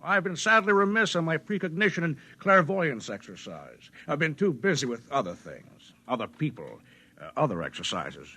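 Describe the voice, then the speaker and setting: Cynical, bossy male schemer, 1950s drama: husky, sharp, theatrically sardonic. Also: fairly high pitch, big pitch swings, normal speed, heavily acted. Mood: sly, evasive. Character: cynical, mocking, bossy male boss of a racket. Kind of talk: 1950s radio drama acting.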